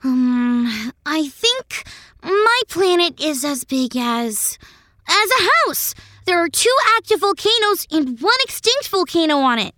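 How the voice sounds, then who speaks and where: A woman voicing an earnest, gentle little boy prince from another planet in a children's fairy tale. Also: high-pitched, very animated, takes it slowly, no echo, clean studio sound, exaggerated voice.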